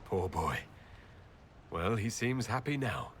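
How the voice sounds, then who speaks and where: A man playing a courteous, wry young noble leader in a fantasy video game, polite and gently teasing. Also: lowish voice, big pitch swings, slow, background noise. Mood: warm, reflective. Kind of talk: video game cutscene acting.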